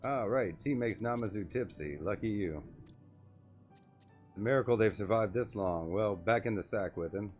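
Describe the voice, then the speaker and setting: Casting: male player as stern old game sorceress, sardonic, haughty, lowish register, faint music behind. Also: normal speed, darkish tone, close to an everyday voice. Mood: dry. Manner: dry, haughty, wordy. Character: crabby, intimidating old female sorcerer, dry and sardonic.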